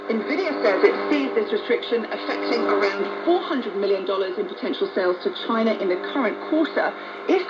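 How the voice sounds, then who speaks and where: A woman reading a scripted news report as a correspondent, on a noisy, dull shortwave radio broadcast. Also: mid-range voice.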